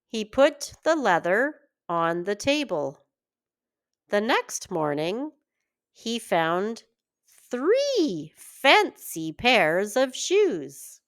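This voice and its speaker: A woman reading a children's fairy tale aloud in an expressive, deliberate storytelling manner. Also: medium pitch. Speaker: children's story reader, teacher.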